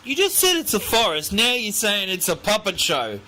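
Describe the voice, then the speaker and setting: A man's voice: high-pitched, wildly swooping pitch, moderate pace. A man bantering casually as a father, in a playful, mock-incredulous teasing way, recorded at home. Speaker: father playing along with child's puppet show. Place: indoors at home. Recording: noisy amateur home video.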